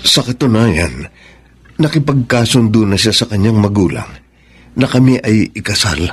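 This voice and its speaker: A Filipino actor with a husky voice playing an earnest, devoted lover in a romantic radio drama. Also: male voice, fairly low pitch, expressive, normal speed. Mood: remorseful confession. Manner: earnest, pleading. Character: earnest, devoted male lover explaining himself.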